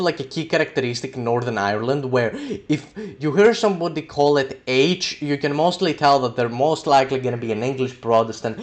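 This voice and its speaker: A young man, a native Greek speaker, explaining letters and sounds in a loose, rambling way, with many ums and uhs. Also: mid-range voice. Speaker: video creator explaining letters. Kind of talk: casual educational talk.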